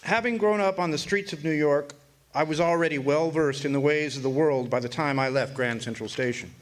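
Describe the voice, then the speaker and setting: A man narrating a radio play as a grown former orphan looking back on his boyhood, in measured storytelling. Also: fairly high pitch, natural voice. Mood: reflective.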